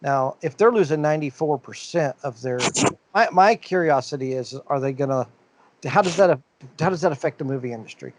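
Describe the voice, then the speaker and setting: A man's voice: on the high side, expressive, a little fast, slight background noise, poor audio. A man co-hosting a podcast, talking in a loose, rambling way. He reads the news out and circles back through uh's and restarts. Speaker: podcast co-host. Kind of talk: casual podcast chat.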